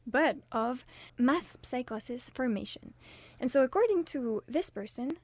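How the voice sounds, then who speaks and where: A young woman from France co-hosts a student radio discussion. She explains ideas at length with ordinary hesitations. Her voice is fairly high, and the recording is close and dry. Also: darkish tone, dry recording. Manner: explains with fillers and restarts. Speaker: student co-host. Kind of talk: casual discussion.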